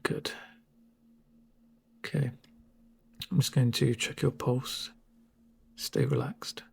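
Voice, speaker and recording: Calm male corporate medic played by a man for ASMR roleplay: hushed, very breathy, creaky, reassuring, drily sardonic. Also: medium pitch, exaggerated voice. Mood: soothing, calm. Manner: unhurried, soothing, deadpan asides. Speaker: medic caring for a patient. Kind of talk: soft-spoken ASMR roleplay.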